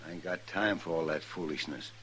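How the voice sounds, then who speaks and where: A man's voice: very deep voice, brisk, fairly bright tone, rough recording. A man playing a mean, stubborn old horse rancher in a radio western, with a defiant, folksy drawl and an American Western accent. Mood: defiant, scornful. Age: older. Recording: old radio recording with noise.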